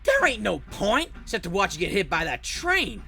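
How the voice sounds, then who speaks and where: A performer voicing a gruff, menacing hillbilly thug with a rustic, ungrammatical drawl in a comic fan dub. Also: mid-range voice, huge pitch swings, normal speed, sharp tone, full character voice.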